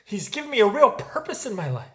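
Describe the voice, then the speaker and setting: A man's voice: high-pitched, big pitch swings, fast. An actor playing an earnest, anxious, loyal married man who is eager to please, in a musical theatre table read. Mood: earnest, pleading. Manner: earnest, placating.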